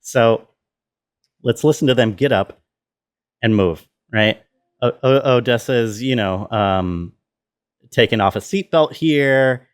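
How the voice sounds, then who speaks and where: Guy doing a laid-back sound design walkthrough, regular pitch, explains stuff and checks you're following. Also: slow, pauses often, clear, resonant tone. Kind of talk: casual tutorial walkthrough.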